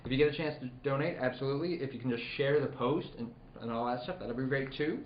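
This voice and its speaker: Male podcast host, medium pitch, conversational announcer, slightly muffled and echoey with light background noise. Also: fast. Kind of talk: casual podcast hosting. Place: indoor studio. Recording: roomy, dull, faint background noise.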